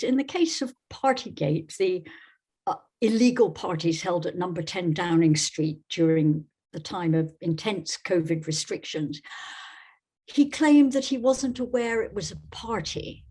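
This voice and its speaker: A woman from Scotland giving conversational interview answers as a guest, in a measured, wry way with a husky voice. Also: medium pitch, darkish tone. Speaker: guest novelist.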